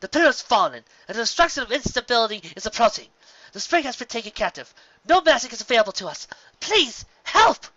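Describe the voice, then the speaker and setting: A man's voice: very high-pitched, very animated, a little fast, pushed and bright, no room sound, dull audio, pitched above the performer's own voice, heavily acted. A man voicing a frightened, earnest young princess in a storybook reading, pleading and urgent. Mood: urgent, desperate.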